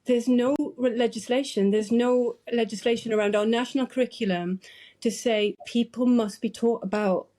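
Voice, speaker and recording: A woman's voice: on the high side, steady tone, moderate pace, mellow. A British woman hosting a conversational podcast discussion, speaking earnestly and with some frustration. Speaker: podcast host.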